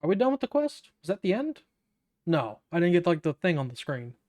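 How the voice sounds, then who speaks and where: A man's voice: sits high, very animated, a little fast, a little mellow, no echo. A young man giving casual, sweary let's-play commentary in an irreverent, offhand, slangy way. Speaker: gaming streamer.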